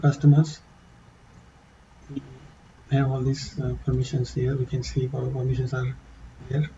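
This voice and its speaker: A man with an accent walking through a software demo in a halting, casual way, with many fillers and restarts. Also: mid-range voice, level tone, muffled recording, a little hiss or hum. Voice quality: non-native English accent. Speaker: tutorial presenter. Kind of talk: casual screen-share walkthrough.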